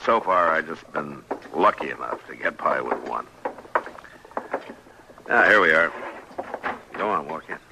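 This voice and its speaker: An actor playing a calm, kindly frontier marshal in an old-time radio western, speaking in a laconic, unhurried manner. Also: male voice, heavily acted. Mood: calm, businesslike. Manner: laconic, unhurried, low-key. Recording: noisy vintage radio drama recording.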